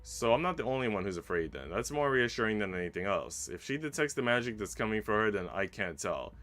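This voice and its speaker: A man reading a visual novel aloud as a streamer, in an expressive, dramatic narration that drops hushed and rises with emphasis. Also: on the low side, fast. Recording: faint game music behind. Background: game music.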